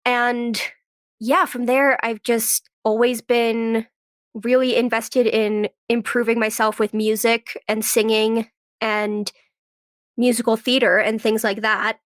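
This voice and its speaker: A woman's voice: on the high side, little pitch movement, takes it slowly, no room sound, studio quality. A woman guest on an interview, telling personal stories in a chatty, informal way and voicing little quoted remarks. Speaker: podcast guest, actress and writer. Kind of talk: casual chat, personal storytelling.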